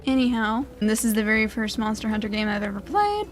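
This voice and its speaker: A woman's voice: a little high, big pitch swings, a little fast. A woman giving casual let's play commentary in a rambling, conversational way as host of a gaming video. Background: game music.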